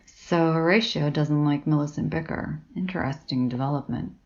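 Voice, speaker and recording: A woman's voice: low voice, moderate pace, muffled recording, close to an everyday voice. A woman playing a blunt, suspicious, sardonic academic in an audio drama, with a dry, sarcastic delivery. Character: sardonic, blunt, suspicious, foul-mouthed female college academic.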